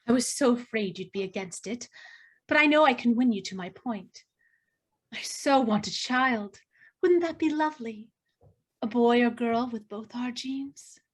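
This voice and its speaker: Woman acting a high-voiced, nervous young bride. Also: a little slow, breathy edge. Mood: anxious, hopeful. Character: nervous, eager young bride longing for approval. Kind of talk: screenplay table read.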